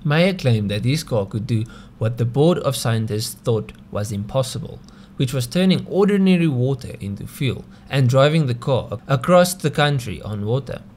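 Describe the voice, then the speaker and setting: A man's voice: medium pitch, expressive, normal speed, darkish tone, a little hiss or hum. A man with a South African accent narrating a scripted explainer video in a conversational way, often posing rhetorical questions. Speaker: video host.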